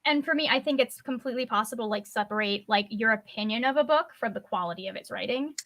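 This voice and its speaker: A woman's voice: fairly high pitch, fast talker, fairly bright tone. A woman co-hosting a casual book discussion, giving her opinions bluntly. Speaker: co-host on a book discussion livestream.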